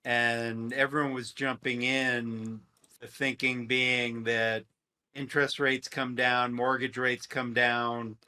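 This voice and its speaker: A man talking about markets as a guest, conversational and halting, restarting his phrases often. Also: mid-range voice, level tone, sharp tone. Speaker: guest, fund manager. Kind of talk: casual market discussion.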